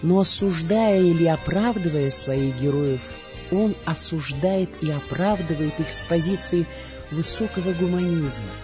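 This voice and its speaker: A male radio narrator with a somewhat high voice reads a scripted tribute. His delivery is measured, formal and solemn, and music plays clearly behind him.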